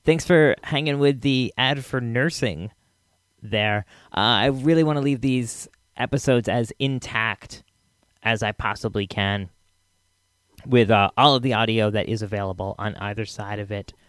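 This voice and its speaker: A man's voice: lowish voice, measured pace, a bit bright, dry recording, studio quality. An American man hosting a podcast with casual commentary, speaking in an informal, chatty and somewhat hesitant way. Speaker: podcast host. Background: music.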